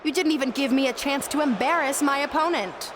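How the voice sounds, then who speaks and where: A woman's voice: sits high, fairly quick, noisy background, natural voice. A woman playing a cocky, taunting wrestling star in a video game, boastful and mocking. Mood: smug, condescending. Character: cocky, taunting female wrestling star and self-styled hero.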